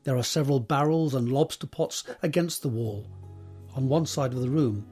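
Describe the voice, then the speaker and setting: A man narrating scripted stage directions for an audio drama in a measured, descriptive reading. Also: mid-range voice, warm tone, husky, faint music behind.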